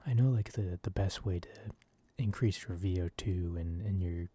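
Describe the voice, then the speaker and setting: A man softly rambling in a casual ASMR style, hesitant and informal, with a breathy voice. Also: deep voice, normal speed, slight background noise. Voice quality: soft, breathy. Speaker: video creator. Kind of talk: soft-spoken ASMR ramble.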